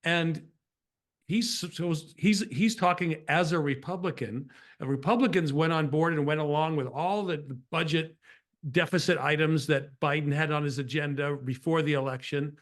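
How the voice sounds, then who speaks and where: A man's voice: on the high side, normal speed. A man hosting a political interview in a conversational way, earnest and opinionated as he shares his views. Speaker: show host.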